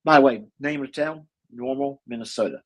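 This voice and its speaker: A man with a husky voice, co-hosting a casual chat about movies, rambling with lots of fillers and restarts. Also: medium pitch, fairly quick. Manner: rambling, many fillers and restarts, chuckles often. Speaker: co-host.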